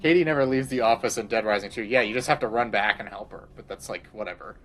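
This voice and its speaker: A man's voice: lowish voice, fast, a bit bright, soft background music. A man streaming a game, rambling crudely in casual commentary and laughing often.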